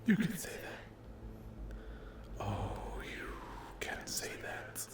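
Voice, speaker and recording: A man voicing a sadistic, possessive sleep paralysis demon in an ASMR horror roleplay, taunting and menacing in breathy whispers and gravelly growls. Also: very slow. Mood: cold, condescending.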